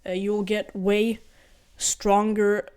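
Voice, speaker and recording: A man's voice: sits high, level tone, takes it slowly, darkish tone, clean tone. A young man from Ukraine with a mixed Ukrainian and Norwegian accent gives casual vlog advice. He talks in an earnest, rambling way, often correcting himself and repeating his points. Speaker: vlogger.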